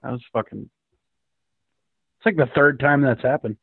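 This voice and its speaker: A man's voice: medium pitch, normal speed, creaky, slightly rough, dry recording. Man joking over tinny audio. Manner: joking, profane banter. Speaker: livestream host. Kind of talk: casual group chat on a livestream.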